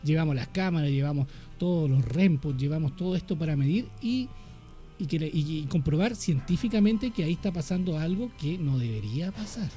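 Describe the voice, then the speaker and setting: Eager radio host. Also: male voice, a little high, expressive, a little fast, mellow, faint music behind. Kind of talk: radio talk show chat.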